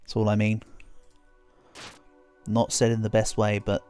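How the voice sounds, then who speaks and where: A man's voice: lowish voice, monotone, a little slow, darkish tone, soft background music. A man streaming a video game, chatting casually and rambling, sounding a bit congested with a cold coming on. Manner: chatty, rambling, self-deprecating. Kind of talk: casual game livestream chat.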